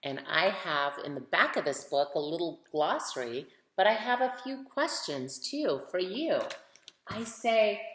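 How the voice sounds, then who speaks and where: A woman reading a picture book aloud to children, expressively, putting questions to her young listeners. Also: mid-range voice. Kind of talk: storytime read-aloud for children. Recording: roomy, echoing sound.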